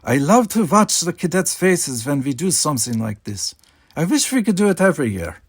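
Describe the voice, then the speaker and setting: Male reader as stern starship commander, Russian accent, raised pitch, instructive, matter-of-fact, audiobook character. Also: sing-song, moderate pace, husky, no room sound, full character voice. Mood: delighted.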